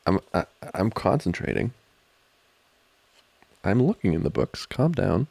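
A man with a low, heavily creaky voice plays a flustered studying boyfriend in an ASMR roleplay. He talks slowly and hesitantly, close to the mic, with pitch that rises and dips often. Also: big pitch swings, darkish tone, no room sound. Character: flustered, distracted studying boyfriend, teasing and affectionate. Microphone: close microphone, dry.

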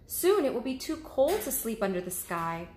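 A woman's voice: on the high side, sing-song, normal speed, reverberant, noisy background, voice pushed up. A woman voicing a tired, patient mother bear who speaks in a weary, soothing way.

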